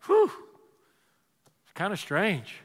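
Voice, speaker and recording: A man's voice: high voice, wildly swooping pitch, takes it slowly, long reverb tail, heavily acted. A man playing a bewildered young husband who hesitantly explains something strange. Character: bewildered young husband telling his wife a strange story.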